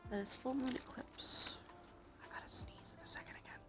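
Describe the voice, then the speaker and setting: A woman livestream host speaking in a soft, breathy, often whispered voice, murmuring casually and unhurriedly to viewers in an ASMR tarot style. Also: a little fast, over music. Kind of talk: ASMR tarot reading stream.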